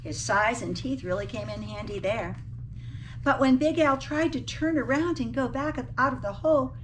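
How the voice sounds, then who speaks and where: A woman's voice: on the high side, moderate pace, distant mic, slight background noise, low-quality recording. A woman teacher reading a children's picture book aloud expressively, with dramatic emphasis on key words and little asides to her listeners.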